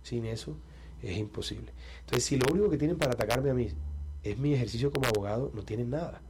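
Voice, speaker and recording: A man's voice: mid-range voice, quick pace, darkish tone, slight background noise. A man with a Colombian Caribbean coast accent, a presidential candidate answering interview questions in a confident, combative and emphatic way.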